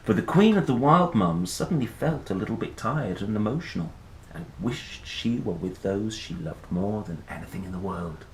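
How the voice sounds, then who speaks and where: Male children's storyteller, lowish pitch, highly expressive with chatty asides; roomy sound with faint noise. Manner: playful, expressive, dramatic pauses and gasps. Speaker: host reading picture books aloud.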